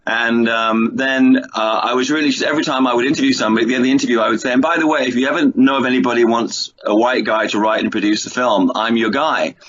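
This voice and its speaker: A man's voice: medium pitch, steady tone, quick pace, few pauses, a bit bright. A middle-aged man, chatting and telling anecdotes as the guest in a radio interview. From England. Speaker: interview guest, film writer and producer. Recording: dull lo-fi sound with clear background noise.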